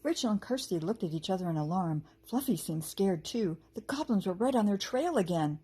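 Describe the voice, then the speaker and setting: A woman teacher reading a children's story aloud with expressive narration and a slightly husky voice. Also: mid-range voice, a little fast.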